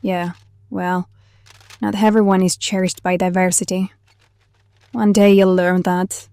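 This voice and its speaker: A woman's voice: mid-range voice, flat delivery, unhurried, dark tone, clean tone, no room sound, lightly acted. A woman playing a protective, wistful half-banshee, half-necromancer outcast who speaks confidingly in an audio drama. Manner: calls the younger one honey, confiding.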